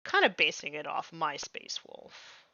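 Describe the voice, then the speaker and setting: A woman's voice: deep voice, big pitch swings, measured pace, pushed and bright, no room sound, lo-fi sound. A young woman chatting casually and self-deprecatingly while painting miniatures on a live stream, full of "like", just getting over losing her voice. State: recovering from lost voice.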